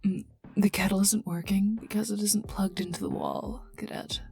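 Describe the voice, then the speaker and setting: A woman playing a cold, sardonic, menacing female scientist in an ASMR roleplay, taunting the listener in breathy half-whispers. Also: medium pitch, normal speed, natural voice. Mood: curious, needling. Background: calm ambient music.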